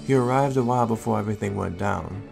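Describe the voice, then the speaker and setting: A man playing a calm, responsible Raichu guardian in an audio drama, speaking in a measured, explanatory way. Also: fairly low pitch, creaky, music in the background. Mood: earnest, explaining. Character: calm, helpful adult male Raichu guardian, steady and responsible.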